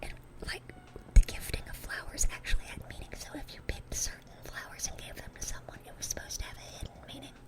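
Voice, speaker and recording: A woman's voice: fast, lightly acted. A woman playing a dorky, sweet-natured young demon girl in an ASMR roleplay, whispering in a rambling, chatty, earnest way. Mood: sweet, excited. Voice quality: breathy, unvoiced whisper.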